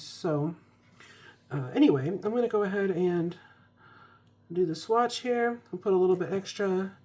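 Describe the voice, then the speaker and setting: A woman's voice: fairly low pitch, darkish tone. A young woman recovering from a cold, chatting casually with ums and restarts as she reviews an ink. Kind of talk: casual product review vlog.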